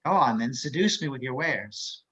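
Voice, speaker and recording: A man playing a cultured, menacing killer psychiatrist in a dramatic table read, measured and taunting, with theatrical pauses. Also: medium pitch, expressive, a bit bright, close to an everyday voice. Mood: coolly superior. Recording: dull lo-fi video call.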